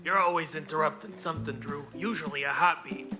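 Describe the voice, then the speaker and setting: A man's voice: a little high, fairly quick, over music, natural voice. A man playing a hard-boiled 1940s private detective straight, in clipped radio noir style. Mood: stern, dry.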